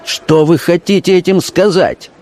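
A man's voice: mid-range voice, animated, a little slow, darkish tone, natural voice. Proud male card player done by a male narrator, curt, tense, contemptuous, audiobook drama. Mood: affronted. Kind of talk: audiobook character acting.

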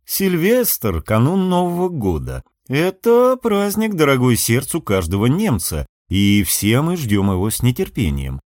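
A man's voice: mid-range voice, wildly swooping pitch, a little slow, few pauses, a little mellow, no echo, studio quality. A man voicing a portly older ship's captain in an audiobook, jovial and scheming, speaking with mock-formal emphasis. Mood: solemn, grumbling.

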